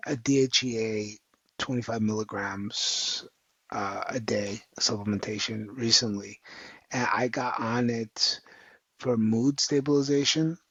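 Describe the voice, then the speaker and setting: Guy podcast host, gravelly voice, kinda hesitant, slightly muffled dry sound. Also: medium pitch, fairly even intonation, measured pace, fairly bright tone, dry recording. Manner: hesitant, searching for words. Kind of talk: casual interview chat.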